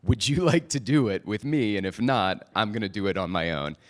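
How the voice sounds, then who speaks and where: A man hosting a podcast live at a conference, chatting energetically with the audience from a handheld mic. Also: on the low side. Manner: energetic, chatty presenter style with quick asides. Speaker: podcast host. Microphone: handheld microphone.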